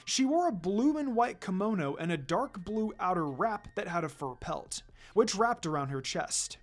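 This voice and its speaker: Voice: mid-range voice, lively intonation, normal speed. A person reading a fan fiction story aloud as a scripted narration. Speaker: story reader.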